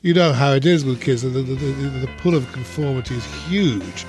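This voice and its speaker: A man's voice: mid-range voice, fairly quick, over music. A man, a podcast guest who chats in a rambling way, full of restarts and "you know"s. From England. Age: 70s. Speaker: podcast guest, former conference interpreter. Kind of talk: casual interview chat.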